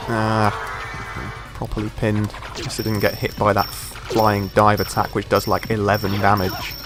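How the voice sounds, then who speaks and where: Guy, sore throat, croaky and lowish, relaxed let's-play talk. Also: measured pace, music in the background. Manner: relaxed, thinking aloud while playing. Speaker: gameplay commentator. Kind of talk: casual let's play commentary.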